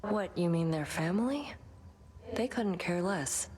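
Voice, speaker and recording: An actress playing a jaded, bitter goddess in a video game, blunt, dismissive and sardonic. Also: female voice, lowish voice, expressive, measured pace, darkish tone. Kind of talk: video game character dialogue.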